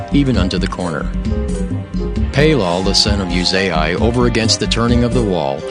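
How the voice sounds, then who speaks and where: A man narrating scripture for an audio drama in a solemn, formal and measured way. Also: fairly low pitch. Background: music.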